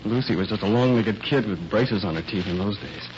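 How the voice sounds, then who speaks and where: An actor playing an earnest, lovesick young fiancé in a radio mystery, hesitant and stammering with worry. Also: male voice, lowish voice, brisk, darkish tone, close to an everyday voice. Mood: uneasy, hesitant. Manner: hesitant, stammering, self-correcting. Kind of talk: 1940s radio mystery acting. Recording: muffled, faintly noisy vintage radio recording.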